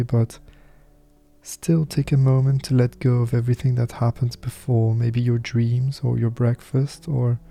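A man with a very warm, relaxed, dark timbre guides a meditation in a mid-range voice. He is soft-spoken and soothing, delivering affirmations with unhurried pauses. Faint music plays behind him. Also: mid-range voice, vocal fry.

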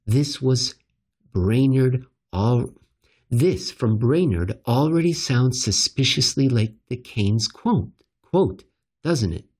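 A man reading a scripted economics commentary aloud as narrator, with an emphatic, rhetorical delivery and deliberate pauses. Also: mid-range voice, darkish tone, husky.

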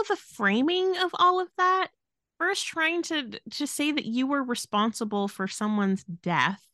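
Woman podcast co-host, higher register, opinionated and blunt, exasperated casual talk in a dry recording. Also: fairly bright tone, dry recording.

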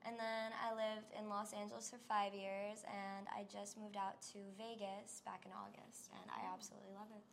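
A woman from New York, a podcast guest telling her story in casual chat, talking fast with lots of "like" and easy laughs. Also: medium pitch, little pitch movement, vocal fry, slight background noise. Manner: chatty, laughs easily, lots of "like".

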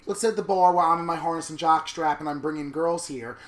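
A man in his thirties co-hosting a candid casual chat, blunt and animated. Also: on the high side, quick pace. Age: 30s. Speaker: podcast co-host. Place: New York.

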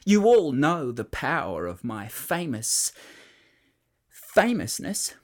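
A storyteller putting on a pompous, self-important island king from a storybook, declaring his plans in a raised, lively voice. He swells over grand words and drags out his proclamations with vain relish. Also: mid-range voice, slow pace, pitched above the performer's own voice.

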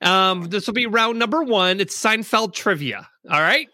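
Upbeat male host, high bright voice, very dry close sound, runs a trivia game. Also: animated, no room sound. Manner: upbeat, quick, laughs often. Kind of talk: casual chat, hosting trivia game.

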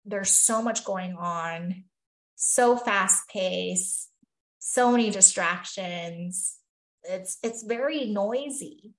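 A woman's voice: mid-range voice, very slow, echoey room, rough recording. A woman from Taiwan, a physician and life coach, talking earnestly and thoughtfully as a podcast guest, often saying "you know" and "really". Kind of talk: reflective podcast conversation.

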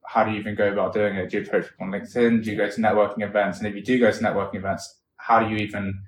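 Podcast host guy, lowish voice, loose rambly questions, roomy echo. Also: very fast. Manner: relaxed, rambling questions with restarts. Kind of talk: casual podcast interview. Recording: roomy echo, faint room noise.

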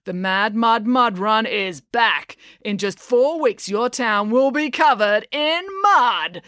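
A woman's voice: sing-song, fairly bright tone, no room sound, clean studio sound. A woman voicing a muscle-bound male event promoter in a storybook, bellowing and drawing out words to rally a crowd. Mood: hyped, salesy. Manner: booming, drawn-out crowd-rallying delivery. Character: bellowing muscle-bound male mud-run promoter, relentlessly rousing showman. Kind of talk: storybook character voice.

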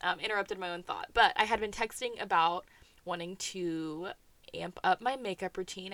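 A woman's voice: mid-range voice, bright, forceful tone, dry recording. A young woman co-hosting a podcast, chatting casually and telling stories with frequent laughter. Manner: chatty, storytelling, laughs often. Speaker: podcast co-host. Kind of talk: casual chat.

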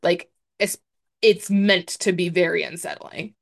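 Young adult woman, podcast co-host; lowish, deep voice for a woman, hedging and chatty. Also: a little slow, vocal fry. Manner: chatty, hedging with many fillers. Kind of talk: casual chat.

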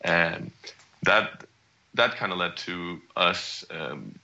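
A young man from Denmark speaks in a low register with a very forceful, bright edge. He talks at a halting, conversational pace and keeps restarting his phrases as he tells his story. The audio is dull and lo-fi. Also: gravelly. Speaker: startup CEO guest. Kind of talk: conversational interview answer.

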